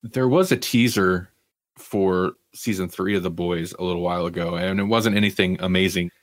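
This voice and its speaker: A low-pitched man hosts a casual entertainment podcast in a dry, close recording. He moves between reading news items and offhand commentary, with a wry, self-deprecating streak. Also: steady tone, moderate pace, dry recording. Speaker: podcast host. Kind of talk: casual entertainment news chat, partly reading articles aloud.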